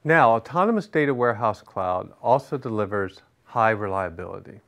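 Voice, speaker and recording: A middle-aged man gives a scripted product explainer from a studio set. His delivery is steady and instructive, with rhetorical check-ins between points. The sound is clean and close, as from a lapel mic. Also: lowish voice, moderate pace. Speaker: product management executive presenting. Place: studio with large screen behind.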